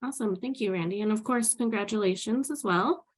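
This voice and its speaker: A woman moderating an online panel, cheerful and organized as she hands the floor to each speaker. Her voice sits at an ordinary middle pitch. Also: a little fast, husky. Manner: upbeat, organized.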